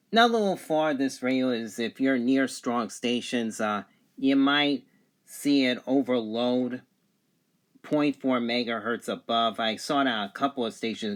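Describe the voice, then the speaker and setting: A man with a mid-pitched voice gives a casual, matter-of-fact product review. He pronounces letters and numbers with care as he demonstrates. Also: a bit bright.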